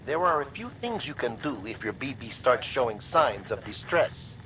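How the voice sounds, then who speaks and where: A talkative medical expert in a game cutscene. He explains in a mid-pitched voice with casual wryness and some evasiveness, over faint background noise. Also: big pitch swings, moderate pace, pushed and bright, rough recording. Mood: casual, instructive. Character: chatty, evasive male medical expert briefing a colleague. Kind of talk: conversational video game cutscene acting.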